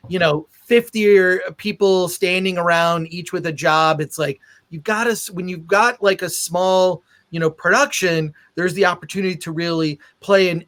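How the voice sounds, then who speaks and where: A man's voice: high voice, fairly bright tone. Male podcast host, conversational interviewer, stop-start phrasing with doubled words. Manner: halting, repeats words as thoughts form. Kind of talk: casual interview chat.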